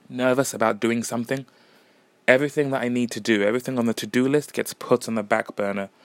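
A man's voice: on the low side, smooth, no echo. Rambling, self-deprecating man. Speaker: podcast host and musician. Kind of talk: casual solo podcast chat.

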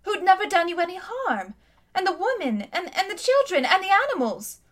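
Woman doing an indignant young girl, high. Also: sing-song, moderate pace. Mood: pleading.

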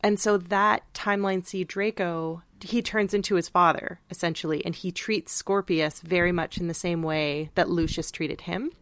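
A woman co-hosting a podcast, discussing a story casually with thoughtful, measured phrasing. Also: fairly low pitch, fairly even intonation, a bit bright, dry recording. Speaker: podcast co-host. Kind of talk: casual literary discussion chat.

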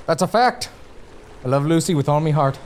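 A man's voice: on the high side, lively intonation, normal speed, slight background noise, lightly acted. A man playing a hearty, food-loving, teasing sailor who talks colloquially in a comic audio drama. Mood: hearty, jolly. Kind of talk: gothic comedy audio drama dialogue.

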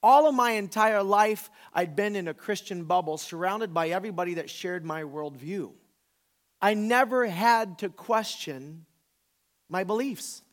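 A man in his forties with a notably high voice delivers a conversational sermon. He is a pastor from the American South who talks informally, leaning on repetition for emphasis. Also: measured pace. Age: 40s. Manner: informal, emphatic, repeats phrases for effect.

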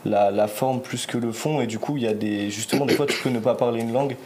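A man's voice: mid-range voice, fast talker, a little mellow, slight background noise. A young man hosting a podcast, leading the chat casually with questions and slangy fillers. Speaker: podcast host. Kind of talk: casual interview.

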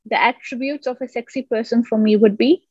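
A woman hosting a podcast who speaks warmly and encouragingly, with effusive praise for her guest. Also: a little high, steady tone, brisk, husky, dry recording, muffled recording. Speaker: podcast host.

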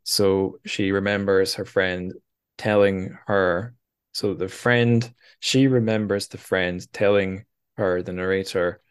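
Man, deep voice, podcast host, casual book talk, searching for words. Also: flat delivery, slow, clean tone.

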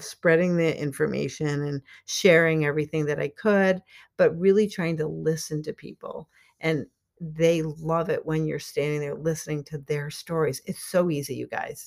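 A woman's voice: low-pitched, moderate pace, creaky. A middle-aged woman hosting a solo podcast, talking casually and encouragingly to her listeners and laughing often. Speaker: podcast host and life coach.

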